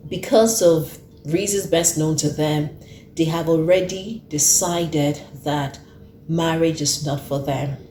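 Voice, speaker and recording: A woman's voice: deep voice, dark tone, reverberant, a little hiss or hum. A woman in her thirties hosting an earnest talk, who repeats her points for emphasis. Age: 30s. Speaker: host. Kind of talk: casual talk to camera.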